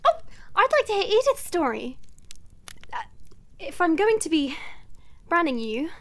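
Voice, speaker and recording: Woman playing curious polite fantasy princess, high voice, very lively melody, audio drama. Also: unhurried, heavily acted. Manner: polite, gently inquisitive.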